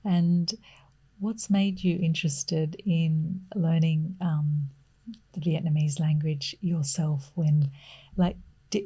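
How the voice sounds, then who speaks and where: Woman podcast host, low and very mellow, careful, hesitant questions. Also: low-quality recording. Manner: hesitant, thoughtful questioning with soft affirmations. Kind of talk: interview questions.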